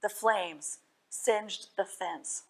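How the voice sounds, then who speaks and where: A woman reading children's stories and poems at a library storytime, expressive and putting questions to her young listeners. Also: medium pitch, very slow.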